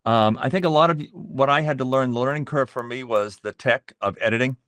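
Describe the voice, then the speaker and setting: A middle-aged man being interviewed as a voice actor, explaining things in a measured, reflective way and pausing to find his words. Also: mid-range voice, a bit bright, dry recording.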